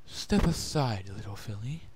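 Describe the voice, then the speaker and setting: A man voicing an older female changeling in a story reading, a calm, protective sister with a soft, gentle manner. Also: lowish voice, a little slow, breathy edge, exaggerated voice.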